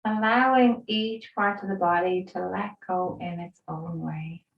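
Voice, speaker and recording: Female meditation guide, lowish voice, very slow and soothing, recorded at a distance in a roomy space. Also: fairly even intonation, creaky, muffled recording. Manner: soothing, gentle, unhurried with pauses. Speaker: yoga instructor. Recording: roomy echo, distant microphone.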